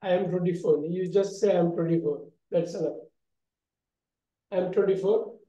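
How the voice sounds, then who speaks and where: A man with an Indian accent teaches spoken English in a high, very soft-edged, dark voice. He asks questions and repeats model sentences. The sound is muffled and roomy over a video call. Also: moderate pace. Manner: instructive, repeats questions and phrases. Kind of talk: online spoken English lesson, questioning and correcting. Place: online video call from a room.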